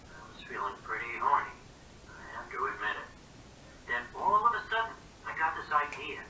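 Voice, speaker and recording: A man reading a novel aloud in the first person, conversational and expressive, with a very bright tone and a lively rise and fall. He sits well back from the mic in a roomy space. A faint hiss runs underneath. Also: fairly high pitch, moderate pace, recorded from a distance. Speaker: reader.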